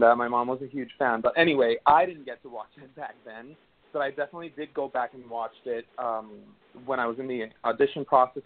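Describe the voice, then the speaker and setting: Venezuelan guy in his 30s, lots of ums, talking over a thin phone line. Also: mid-range voice. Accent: Venezuelan Spanish. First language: Spanish. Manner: hesitant, many fillers and restarts. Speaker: actor guest. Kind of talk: casual interview answers.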